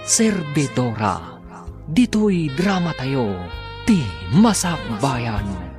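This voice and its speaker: Animated Ilocano male radio drama narrator. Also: medium pitch, drawn-out words. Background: music.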